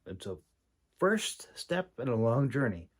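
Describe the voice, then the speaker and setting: A man giving an informal, rambling critique as a host, speaking haltingly with long pauses and repeated words. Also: mid-range voice, big pitch swings. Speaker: host, independent fantasy writer and audiobook reader giving critique.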